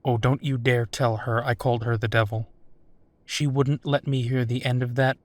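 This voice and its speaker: A man's voice: mid-range voice, little pitch movement, normal speed, slight background noise, lightly acted. A man playing a wry, foul-mouthed werewolf private investigator in a roleplay audio drama, talking casually. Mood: wry, teasing.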